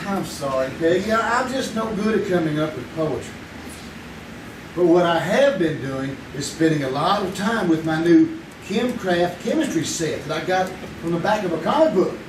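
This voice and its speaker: A man playing a young man who always needs money, with a high and easygoing voice. He delivers his lines in a wry, teasing way. The sound is echoey theater audio with steady room noise. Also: moderate pace, far from the mic. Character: young man Greg, broke and scheming for money. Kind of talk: 1950s-style radio drama acted live on stage.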